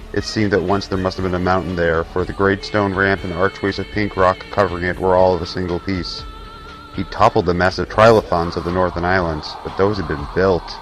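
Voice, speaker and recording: A man's voice: low voice, steady tone, moderate pace, low-quality recording. A man narrating a scripted fantasy tale as the storyteller of an audio drama. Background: faint music.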